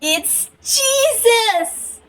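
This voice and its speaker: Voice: very high-pitched, animated, very slow, background noise, full character voice. A performer voicing a chirpy feline puppet who hosts a parody children's show, talking to kids in a sing-song way. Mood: cheery.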